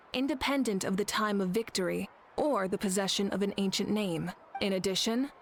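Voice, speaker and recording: Woman as a composed leader, mid-pitched. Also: slight background noise, natural voice. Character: composed, dignified female leader, calm and authoritative. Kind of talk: anime game voice acting. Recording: faint background ambience.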